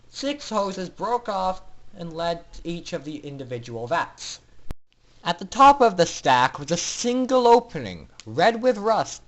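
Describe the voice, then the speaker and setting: A man's voice: sits high, low-quality recording. A man reading a story aloud as a dramatic amateur narrator, theatrical and emphatic in his delivery. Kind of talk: dramatic fan fiction reading.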